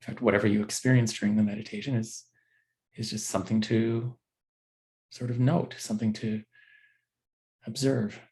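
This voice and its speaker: A man with a fairly low voice leads a guided body scan meditation as a teacher. He gives gentle instructions in short phrases, leaving unhurried pauses between them. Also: flat delivery.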